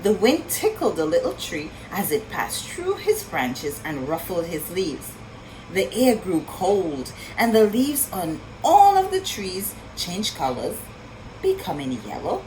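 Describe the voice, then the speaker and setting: A woman reading a story aloud for young children in an expressive, sing-song way, pausing to ask her listeners questions. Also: on the low side, a little hiss or hum, rough recording. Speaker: children's storytime reader and teacher.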